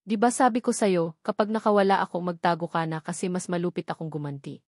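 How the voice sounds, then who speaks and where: A woman's voice: fairly low pitch, quick pace, a little mellow, no room sound, clean studio sound, natural voice. A woman voicing a spiteful, proud young woman out for revenge in an audiobook story, mocking and biting. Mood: vengeful mocking.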